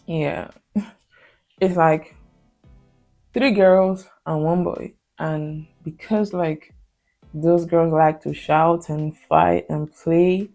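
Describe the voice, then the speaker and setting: A woman's voice: low voice, steady tone, drawn-out words, vocal fry, long pauses, mellow, faint music behind, poor audio. A young woman from Spain, a Spanish native speaker with a Spanish accent, talking through a personal story in a hesitant, filler-heavy casual vlog style. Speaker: vlogger. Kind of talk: confessional casual vlog talk.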